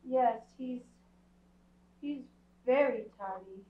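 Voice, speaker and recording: A woman acting in radio theatre as a lovelorn, emotional household maid who speaks haltingly. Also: on the high side. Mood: guarded longing. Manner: halting, drawn-out. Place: theatre stage before an audience. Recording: echoey stage, dull sound.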